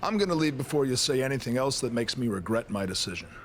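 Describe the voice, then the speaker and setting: A man's voice: mid-range voice, expressive, quick pace, slight background noise, natural voice. An actor playing a stern, exasperated male authority figure in a wrestling video game, curt and authoritative. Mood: grudging, curt. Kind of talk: video game cutscene acting.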